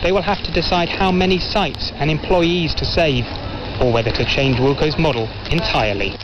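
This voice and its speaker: A man with a slightly high voice delivers a scripted business report. The radio sound is dull, with clear noise. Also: normal speed. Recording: dull, noisy shortwave radio relay.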